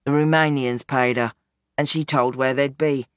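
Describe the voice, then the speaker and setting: A woman reads as an aging crime boss in a storybook, low and heavy, calm and unrepentant. His deep voice sits far below the narrator's, sounding tired and settled. The sound is dry and close. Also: moderate pace, no room sound. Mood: resigned.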